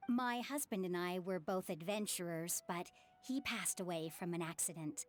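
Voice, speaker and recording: A woman playing a gentle, contented working mother in a video game, friendly, measured and polite. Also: lowish voice, close to an everyday voice. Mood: wistful, accepting. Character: gentle, contented widowed mother, theater prop worker. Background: game music.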